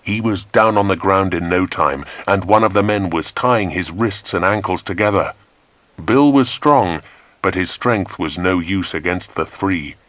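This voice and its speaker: A man's voice: deep voice, a little slow, a bit bright, dry recording. A man narrating a children's adventure story in a dramatic, scripted style. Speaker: audiobook narrator.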